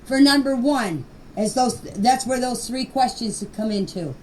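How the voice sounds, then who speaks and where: Distant amateur voice clip, faint noise, older woman, high pitch, blunt, emphatic, unscripted. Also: expressive, a little mellow. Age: 60s or older. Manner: emphatic, blunt, deliberate plain speaking. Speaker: grandmother giving her personal account. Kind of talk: unscripted personal voice message.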